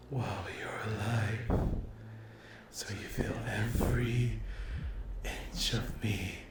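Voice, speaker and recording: A man's voice: fairly low pitch, noisy background. A man voicing a cruel, possessive sleep paralysis demon in an ASMR roleplay, speaking in a menacing, condescending, whispery drawl. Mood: menacing, gloating.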